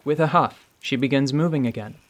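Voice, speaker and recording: A man's voice: medium pitch, brisk. A man narrating a scripted fantasy story in a radio theatre style. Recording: faint background noise.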